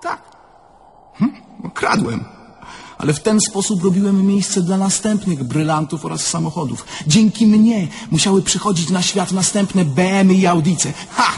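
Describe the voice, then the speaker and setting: An actor playing a cocky, self-justifying young car thief in a radio drama, bombastic and ironic. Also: male voice, high-pitched, moderate pace, husky, heavily acted. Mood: boastful. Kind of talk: theatrical radio drama monologue.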